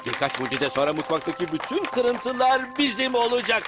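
Squeaky cartoon bug hustler, bossy n theatrical, bouncy pitch, music behind. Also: male voice, very animated, moderate pace. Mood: showy, scheming. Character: scheming, excitable cartoon bug, small fast-talking showman. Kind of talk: animated children's cartoon acting.

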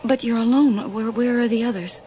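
A woman acting a high-voiced, anxious young heroine. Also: steady tone, a little fast, warm tone, close to an everyday voice. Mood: emotional, confused. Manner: hesitant, stammering. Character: anxious, bewildered young woman with newly restored sight. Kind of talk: radio horror drama acting. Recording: slightly noisy 1980s radio recording.

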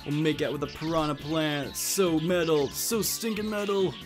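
A man's voice: fairly high pitch, steady tone, moderate pace, music in the background. A man giving casual let's play commentary on a video game, reading dialogue aloud as he goes.

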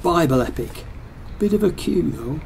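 A lonely, dithering man played by an actor mutters through his options in a radio play monologue. His voice has a gravelly creak, over faint background noise. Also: on the high side, expressive, normal speed, darkish tone, poor audio. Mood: hesitant. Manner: muttering, deliberating to himself. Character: hesitant, lonely man talking himself out of things.

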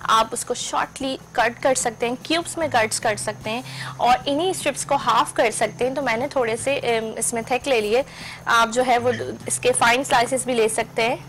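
A woman's voice: a little high, fairly even intonation, normal speed, a bit bright, a little hiss or hum, poor audio. A middle-aged woman hosting a cooking show from a studio kitchen, explaining each step in a chatty, instructive way and mixing in English cooking terms.

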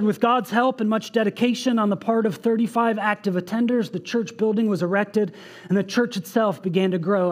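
High-voiced man preaching a sermon. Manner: earnest, reading aloud with asides. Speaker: pastor. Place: church stage with band behind.

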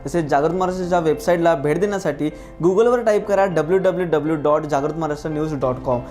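A man's voice: fairly high pitch, quick pace, darkish tone. A man delivering a scripted channel promo voice-over. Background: music.

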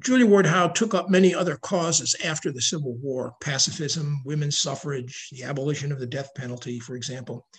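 An older man giving a prepared slide lecture on history over a video call, reading in a measured way. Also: a little high, slightly rough. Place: indoor room at home, video call. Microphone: video call microphone.

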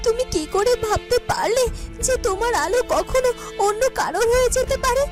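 An emotional young fairytale heroine in love, played by a woman, with an extremely high voice. She speaks earnestly and melodramatically over clearly audible music. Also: expressive, a little fast. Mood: pleading. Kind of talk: melodramatic Bengali cartoon voice acting. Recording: music behind the voice.

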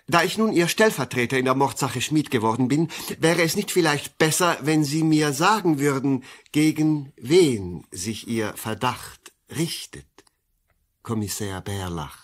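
Keen detective, very lively intonation. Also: male voice, mid-range voice, a little slow. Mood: polite, probing. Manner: precise, deductive, courteous. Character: keen, polite male police detective, eager deputy. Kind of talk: radio drama acting.

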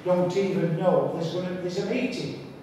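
A man's voice: high voice, moderate pace. Insistent man in an echoey council hall. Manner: insistent, repeats himself when pressing a point. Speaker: town councillor. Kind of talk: heated council debate. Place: large echoey public hall. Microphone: far room camera. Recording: echoey hall from far off, noisy.

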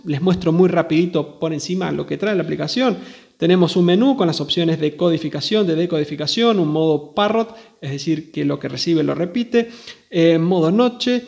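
A man's voice: on the high side, normal speed, creaky, a little mellow. A man hosting a hobby channel, giving a casual, chatty, informal product demo. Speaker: host of a radio hobby channel.

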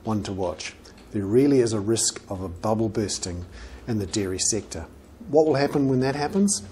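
A middle-aged man with a New Zealand accent presenting an economic commentary to camera in measured, emphatic phrases with deliberate pauses. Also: fairly low pitch, dark tone, slight background noise. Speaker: financial journalist presenting an economic report. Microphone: lapel mic.

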